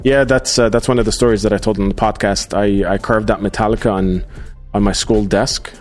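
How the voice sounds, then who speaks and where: Halting male podcast host, music behind. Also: fairly low pitch, steady tone. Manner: halting, many fillers and restarts. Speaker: podcast host reading live chat. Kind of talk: casual livestream chat.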